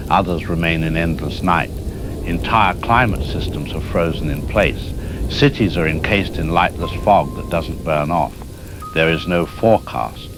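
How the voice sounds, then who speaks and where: A man playing a grave, resigned climate scientist giving a measured final report in an apocalyptic audio drama. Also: low voice. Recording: noisy broadcast with storm ambience.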